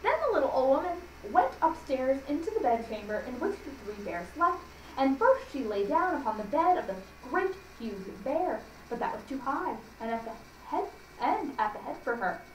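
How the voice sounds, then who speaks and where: A woman reading a children's story aloud for a library audience, deliberately and with small stumbles. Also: sits high, sing-song. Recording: echoey room, distant mic, faint noise.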